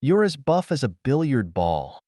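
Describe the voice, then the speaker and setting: A man's voice: medium pitch, very animated, measured pace, mellow, no echo, clean studio sound, pitched above the performer's own voice. A man voicing an indignant, easily shocked man in a pulp science fiction audiobook, incredulous and emphatic.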